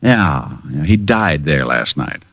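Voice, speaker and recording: A man's voice: very deep voice, lively intonation, takes it slowly, fairly bright tone, dry recording, dull audio, heavily acted. An actor playing a wisecracking male private detective who trades dry, sarcastic quips in an old radio drama. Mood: teasing. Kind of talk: 1950s radio drama acting.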